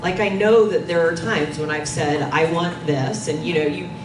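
Low-voiced woman presenting in an echoey room. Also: fairly even intonation, normal speed. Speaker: panel presenter. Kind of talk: informal conference presentation. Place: dim conference meeting room. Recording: distant, echoey, noisy room recording.

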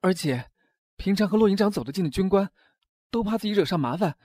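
Man acting an anxious high-pitched young soldier. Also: lively intonation, fast talker, a little mellow, no echo, studio quality. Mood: anxious, pleading. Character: anxious, deferential young male army subordinate. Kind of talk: Chinese audio drama acting.

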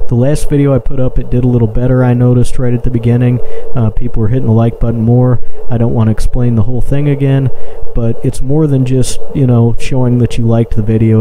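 Man narrating casually over background noise. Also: mid-range voice. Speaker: urban exploration vlogger, narrator.

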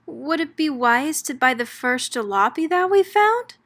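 A woman's voice: sits high, lively intonation, measured pace, fairly bright tone, voice pushed up, heavily acted. A woman voicing a cautious, worried male bug husband in a rhyming storybook.

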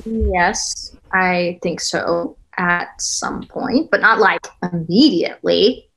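A woman's voice: lowish voice, slow, vocal fry, a bit bright, heavily acted, rough recording. A woman in her thirties acting an earnest, defensive girlfriend in a naturalistic table read over a video call. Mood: hesitant, earnest. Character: earnest, defensive thirty-year-old girlfriend in a couple's spat. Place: small plain room, on video call.

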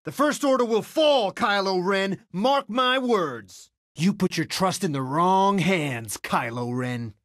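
An actor playing a cocky, friendly young resistance pilot in a video game, breezy and wisecracking. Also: male voice, high voice, lively intonation, takes it slowly, few pauses, a bit bright, no room sound, clean studio sound, heavily acted. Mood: cold, defiant. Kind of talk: video game character voice clips.

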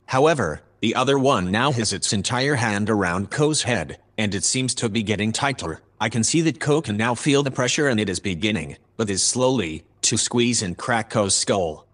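A man playing a calm, formal artificial intelligence, reporting in a measured, dispassionate way in a sci-fi audio drama. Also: lowish voice. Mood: detached.

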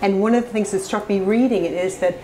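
A woman's voice: mid-range voice, fast, warm tone, roomy sound, slight background noise, poor audio. A woman with a British accent interviewing an author in a shop kitchen, curious and friendly in her questions. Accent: British English.

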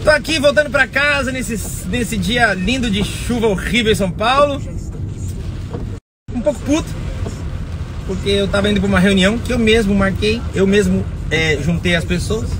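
A man in his thirties, still getting over a cold so his voice is a little rough, rambling anxiously in a self-mocking way while filming himself on a phone in a car. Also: sits high, noisy background. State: recovering from a cold, voice slightly rough. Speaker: vlogger and performer. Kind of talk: casual selfie vlog. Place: inside a car. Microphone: phone at arm's length.

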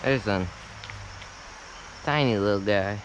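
A man giving low-key running commentary to himself while fly fishing, recorded outdoors on a head-mounted action camera. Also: rough recording. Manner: low-key, short remarks to himself and the fish. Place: outdoors wading a small stream. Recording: action camera with loud stream noise. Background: rushing stream water.

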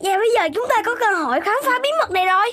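Very high-voiced little puppy boy. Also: animated, very fast, noisy background. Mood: curious, eager. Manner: childlike, eager questioning. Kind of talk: children's cartoon acting.

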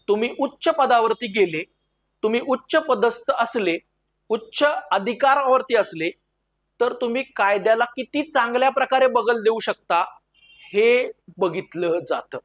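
A man lecturing in an explanatory way, mixing in English terms and slowing down emphatically for key phrases and quotations. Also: extremely high pitch, expressive, a bit bright. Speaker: civil services exam lecturer.

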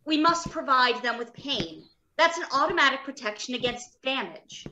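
A woman plays a clinical robot scientist in an old-time radio play. The voice is high and bright, precise and without feeling. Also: animated, moderate pace, slight background noise, low-quality recording. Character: clinical, unfeeling scientist who makes robots.

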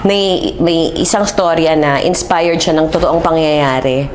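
Low-voiced middle-aged Filipino woman. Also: steady tone, a little mellow, slight background noise. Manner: measured, switches between Tagalog and English. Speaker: interviewee, theatre festival organizer. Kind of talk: on-camera interview, explanatory talk. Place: indoor exhibit room.

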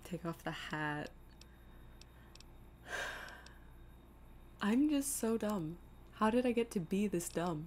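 A woman's voice: medium pitch, animated, slow pace, pauses often, darkish tone. A woman streaming a video game with casual commentary, thinking aloud in a self-deprecating, exasperated way.

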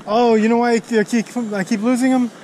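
High-pitched American man chatting while fishing. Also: fairly even intonation, brisk, a little mellow. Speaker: fishing vlogger. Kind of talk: casual chat while fishing. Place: outdoors beside an urban river. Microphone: action camera worn on the body. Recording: faint outdoor city noise.

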